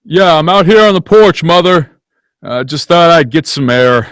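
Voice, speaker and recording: An actor playing an earnest, frustrated small-town young man in old-time radio style, folksy and halting. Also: on the high side, expressive, fairly bright tone, dry recording. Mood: wry, resigned.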